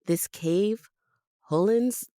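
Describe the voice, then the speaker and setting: A woman's voice: medium pitch, very slow, mellow, no room sound, studio quality. A woman acting a blunt, loyal, skeptical vampire confidante in an audio drama, with a wry, sardonic delivery. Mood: protective, exasperated.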